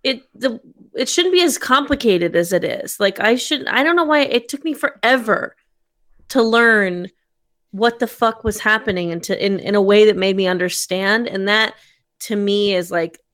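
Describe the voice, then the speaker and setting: A woman chatting casually on a podcast, frank and animated, with a fair bit of swearing. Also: mid-range voice, moderate pace. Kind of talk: casual chat.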